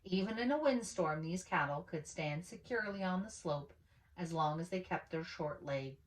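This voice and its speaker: A woman reading a picture book aloud as a storyteller, with animated, dramatic emphasis on key words. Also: on the low side, moderate pace, poor audio. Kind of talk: expressive children's story read-aloud.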